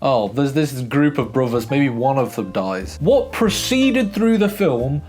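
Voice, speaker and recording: A man hosts a casual film review in an emphatic, expressive way, often restarting his sentences. His voice sits at a middle pitch and still carries a throat that is getting over being sore. Faint guitar music plays quietly behind him. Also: measured pace.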